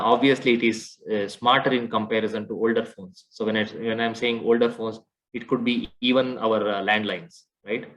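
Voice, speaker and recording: A man's voice: fairly low pitch, fairly bright tone, low-quality recording. A man with an Indian English accent giving an interactive lesson as a technology trainer, explaining step by step and often checking in with the listeners. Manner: explanatory, checks in with "right?" and "okay". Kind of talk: interactive classroom lecture.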